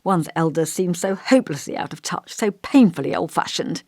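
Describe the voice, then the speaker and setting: A woman's voice: fairly low pitch, lively intonation, moderate pace, a little mellow, no room sound, studio quality. A woman voicing an elderly male village vicar in an audiobook, kindly, long-winded and fussy, with a pompous, old-fashioned, musing manner. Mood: genial, fussy. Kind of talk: audiobook character dialogue.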